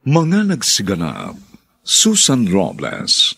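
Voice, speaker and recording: A male Filipino radio drama announcer with a low, very husky voice. He reads in a theatrical, slow style with dramatic swings of pitch and a Filipino accent. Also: wildly swooping pitch, pushed and bright, no echo, clean studio sound.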